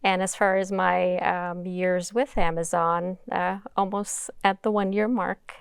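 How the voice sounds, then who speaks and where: A woman moderating a panel on a conference stage, her voice low-pitched with a gravelly edge. She talks haltingly, stopping often to gather her words. The sound is smooth and dry. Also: flat delivery, no room sound. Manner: halting, frequent ums.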